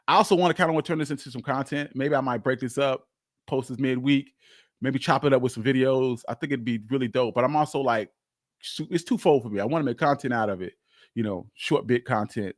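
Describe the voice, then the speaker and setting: A man's voice: medium pitch, no room sound. Casual man rambling on a livestream, dry sound. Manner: rambling, repeats phrases; informal, chuckles often, swears mildly. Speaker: livestream host. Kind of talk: casual unscripted livestream chat.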